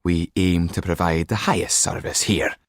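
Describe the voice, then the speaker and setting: A man's voice: low voice, steady tone, moderate pace, dry recording, studio quality, close to an everyday voice. A man voicing a smirking, officious prison clerk in an audiobook, with a mocking manner. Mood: mocking, businesslike.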